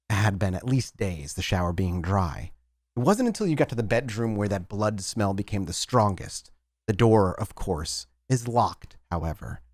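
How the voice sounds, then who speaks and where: A man's voice: lowish voice, dry recording, clean studio sound. A man narrating a tabletop roleplaying game as storyteller, describing scenes in detail.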